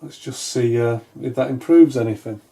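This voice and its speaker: Mellow, tentative man. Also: medium pitch, moderate pace, creaky. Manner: tentative, thinking aloud. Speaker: electronics repair hobbyist, video host. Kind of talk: casual hands-on repair commentary. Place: indoors at a wooden workbench.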